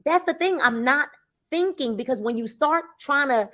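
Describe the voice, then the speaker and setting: A woman's voice: high voice, normal speed. A woman in her fifties chatting casually as a network marketing mentor, telling stories with acted-out dialogue and colloquial speech. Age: 50s. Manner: animated storyteller, acts out dialogue, colloquial. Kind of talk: casual chat.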